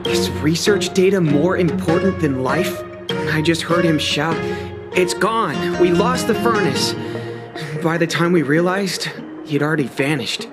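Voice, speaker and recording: A man's voice: a little high, measured pace, natural voice. Man playing a worried young apprentice over music. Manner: earnest, worried. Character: anxious, gloomy young male apprentice, wary of strangers. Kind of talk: video game cutscene acting.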